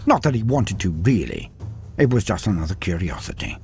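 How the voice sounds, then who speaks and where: A man narrating a scripted story in a dramatic, animated way. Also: deep voice, fairly quick, vocal fry, soft background music.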